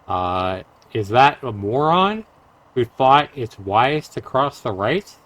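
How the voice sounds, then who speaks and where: Slow-paced man reading a game aloud. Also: medium pitch, big pitch swings, a bit bright. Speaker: game streamer. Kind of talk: reading a visual novel aloud with live reactions. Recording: music behind the voice. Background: game music.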